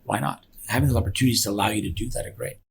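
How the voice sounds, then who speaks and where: A man's voice: fairly low pitch, rapid-fire, creaky, a little hiss or hum. A man speaking as a company founder in a conversational interview, earnestly explaining his opinions at length. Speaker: business founder and director being interviewed.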